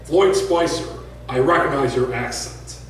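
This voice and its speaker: A man's voice: on the high side, unhurried, a little hiss or hum, close to an everyday voice. A bold, teasing young woman, voiced by a male author reading aloud. She talks with friendly familiarity. The reading rings in a big echoey room with the mic far off.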